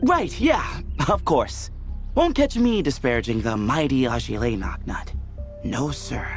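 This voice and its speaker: Breezy, teasing temple leader. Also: male voice, mid-range voice, big pitch swings, measured pace, faint music behind. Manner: breezy and teasing, shifting to serious. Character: confident young desert temple leader, playful. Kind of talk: video game voice acting.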